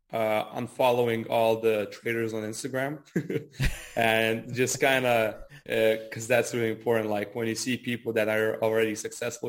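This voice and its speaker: Man, early twenties, medium pitch, casual interviewee, repetitive phrasing, "kinda" and "you know". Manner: repeats words, frequent "kinda" and "you know". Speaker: trader and trading educator being interviewed.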